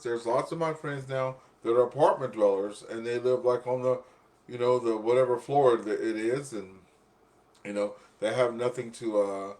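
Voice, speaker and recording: A man hosting an amateur radio net in a casual, rambling, chatty way, often repeating himself. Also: medium pitch. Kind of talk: casual ham radio chat.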